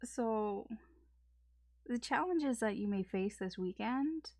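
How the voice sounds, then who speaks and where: A woman's voice: fairly high pitch, animated, takes it slowly, darkish tone, dry recording. A woman giving a casual weekend tarot reading, rambling along with lots of fillers. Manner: rambling, filler-heavy talk. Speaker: tarot reader.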